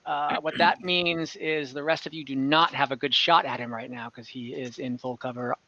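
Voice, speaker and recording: A man running an online tabletop game as game master, often pausing and correcting himself as he rules and describes the action. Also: medium pitch, steady tone, a crowd in the background. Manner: halting, fillers and self-corrections while ruling. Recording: dull lo-fi audio.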